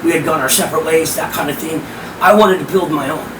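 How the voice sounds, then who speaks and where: A middle-aged man with a hoarse, powerful voice, telling stories emphatically as a podcast guest. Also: fairly high pitch, fast talker. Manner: animated, emphatic, profane. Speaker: guest, rap host and promoter. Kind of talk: casual podcast storytelling. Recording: roomy, distant mic with air-conditioning hum.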